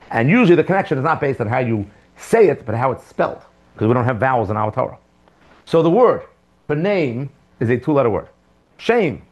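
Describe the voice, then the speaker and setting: Animated man teaching a Torah class. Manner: animated, asks rhetorical questions, sudden jumps in pitch and loudness. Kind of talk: lively Torah class lecture. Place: classroom with students.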